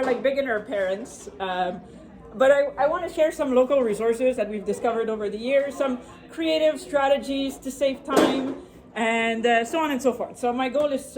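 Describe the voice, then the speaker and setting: A woman with a French accent gives a casual workshop talk, fairly high-pitched and brisk. She is chatty and self-deprecating and often laughs between points. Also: darkish tone. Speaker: workshop presenter. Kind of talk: informal live presentation.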